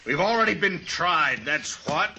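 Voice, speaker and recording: An actor playing a bitter, distrustful cavalry soldier in an old-time radio western, curt and sardonic. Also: male voice, a little high, animated, measured pace, muffled recording. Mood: grim, sardonic. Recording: faintly noisy vintage radio recording.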